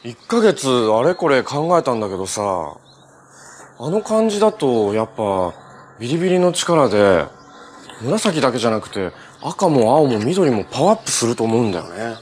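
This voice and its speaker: A man's voice: mid-range voice, very animated, normal speed, a little hiss or hum, natural voice. An actor playing a cheerful, earnest young male superhero in a live-action TV drama, with a casual, friendly way of talking. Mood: thoughtful, casual. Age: young adult.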